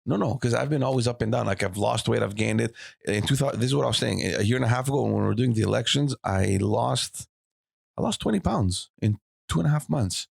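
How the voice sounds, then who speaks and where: Man, gravelly and husky, dry close mic, loose podcast banter. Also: medium pitch, fast talker, no echo. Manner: laughs often, swears casually. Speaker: podcast co-host. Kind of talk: casual chat.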